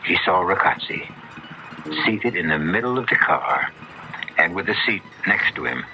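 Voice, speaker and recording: An American man hosting and narrating a 1970s radio mystery in a measured, theatrical, ominous manner. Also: deep voice, animated. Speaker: host and narrator. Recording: dull vintage radio recording. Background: dramatic music.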